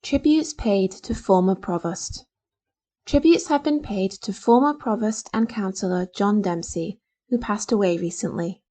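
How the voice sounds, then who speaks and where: A woman reading local newspaper articles aloud as a volunteer, in a deliberate, formal way. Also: mid-range voice, dark tone. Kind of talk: scripted news reading for a talking newspaper.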